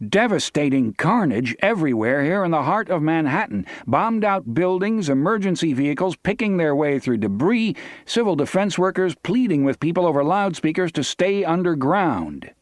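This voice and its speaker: A man's voice: on the high side, sing-song, normal speed, few pauses, no echo, studio quality, pitched above the performer's own voice. A man voicing a panicked news reporter giving an urgent, dramatic radio report within an audiobook. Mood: panicked urgency.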